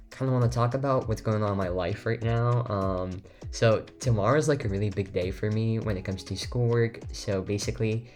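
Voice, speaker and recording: A teenage boy whose first language is Lithuanian talks in a fairly low voice, chatting loosely over game footage. He rambles and restarts often, leaning on fillers like "um" and "like". Faint music plays quietly under his home-recorded voiceover. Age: teens. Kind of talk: casual voiceover chat over gameplay. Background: faint background music.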